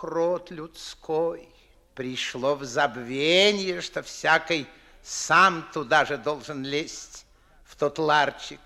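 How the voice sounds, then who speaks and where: A pompous old Moscow nobleman in a classic radio comedy. His voice is high and bright, and he lectures fussily in slow, theatrical, weighty phrases. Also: exaggerated voice. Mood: musing, sighing. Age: older. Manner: theatrical, declamatory, drawn-out emphatic phrasing. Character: pompous, fussy old aristocratic Moscow father and official. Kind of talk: 1950s stage-style radio play acting.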